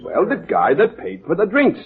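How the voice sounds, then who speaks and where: An actor playing a chatty, scornful bartender in an old-time radio drama, talking in folksy, gossipy slang. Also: male voice, high-pitched, huge pitch swings, moderate pace. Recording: tinny old radio recording, crowd noise.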